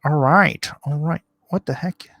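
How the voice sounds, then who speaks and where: A man's voice: fairly high pitch, measured pace, creaky, fairly bright tone, dry recording, studio quality. A man hosting a casual live online art auction, chatting and reading out comments in a playful, hammy way. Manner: playful, theatrical, hammy countdowns.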